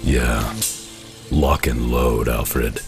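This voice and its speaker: Guy doing a super deep grim superhero dad, terse and bossy, music loud behind. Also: fairly even intonation, very slow, gravelly, exaggerated voice. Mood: grim, commanding. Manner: terse, commanding, stern. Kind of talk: dramatic comic-book dub acting. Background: dramatic music.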